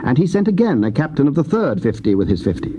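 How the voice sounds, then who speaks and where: A man's voice: a little high, very animated. Stately male scripture narrator. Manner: measured, stately reading. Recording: dull tape with hiss.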